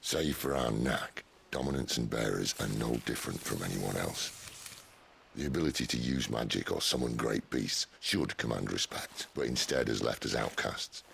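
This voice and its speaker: A man's voice: extremely low pitch, moderate pace, gravelly, a bit bright, exaggerated voice. An actor voicing a wry, world-weary male outlaw leader, talking in a dry, teasing way. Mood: earnest. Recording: game cutscene with ambient noise.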